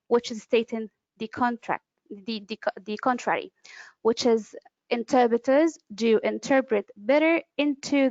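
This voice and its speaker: Woman, fairly high voice, lecturing, fillers and restarts, dry dull webinar audio. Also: no room sound. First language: Arabic. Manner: explanatory, with frequent fillers and restarts. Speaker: webinar presenter, interpreter. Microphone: computer mic, online webinar.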